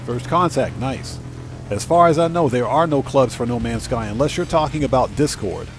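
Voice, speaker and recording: A man's voice: medium pitch, lively intonation, normal speed, over music. A man giving casual, off-the-cuff commentary as he live streams a video game.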